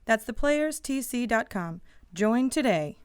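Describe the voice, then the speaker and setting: A woman's voice: fairly high pitch, animated, a little slow, clean tone. A woman announcer reading a scripted promotional spot for a theater company.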